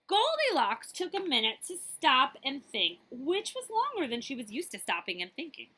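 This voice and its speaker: A woman reads a picture book aloud in a high, bright voice with lively, swooping intonation. She is expressive and chatty, stepping out of the text to talk to young listeners. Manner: animated, chatty, pausing to ask listeners questions. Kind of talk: expressive children's read-aloud with asides.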